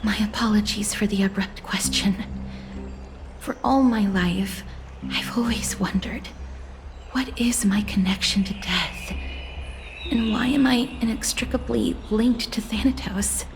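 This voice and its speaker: A woman playing a gentle, solemn young woman in a video game, speaking softly and breathily with polite, formal earnestness. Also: medium pitch, unhurried, natural voice. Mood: solemn, wistful. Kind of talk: scripted video game dialogue. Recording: clear background music under the voice.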